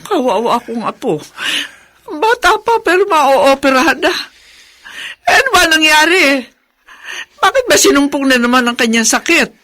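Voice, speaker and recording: A woman playing a doting, easily worried middle-aged mother and grandmother in a Filipino radio drama, with expressive, exclaiming delivery. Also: high-pitched, moderate pace, dry recording, full character voice. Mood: alarmed, worried. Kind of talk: Filipino radio melodrama acting.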